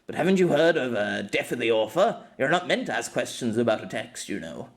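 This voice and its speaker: A man acts out a young former knight in an audiobook story. He is cocky and wry, bantering with a lively, moving pitch and a self-deprecating streak. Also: fairly quick. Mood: teasing.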